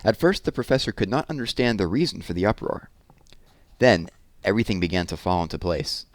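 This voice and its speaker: Low-voiced man reading a story aloud. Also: animated, a little fast, no room sound. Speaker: read-aloud host and narrator.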